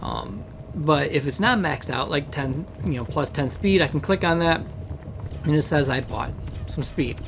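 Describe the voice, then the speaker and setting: A man with a hoarse voice from a cold, casually walking viewers through the game he developed, rambling with many fillers. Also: on the high side, a little slow, background noise.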